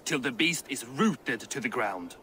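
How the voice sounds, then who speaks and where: An actor playing a middle-aged, grumbling dwarf smith in a video game, blunt and wry. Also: male voice, medium pitch, lively intonation, unhurried, pushed and bright, a little hiss or hum. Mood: proud, wry. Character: gruff, proud, grumbling male dwarf smith. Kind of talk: cinematic video game acting.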